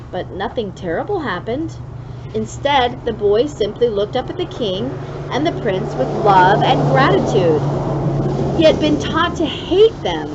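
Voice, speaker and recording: A woman with a fairly high voice reads a children's story aloud in an expressive, deliberate way, leaning on important words. The recording is a bit dull and lo-fi, with clear noise behind her.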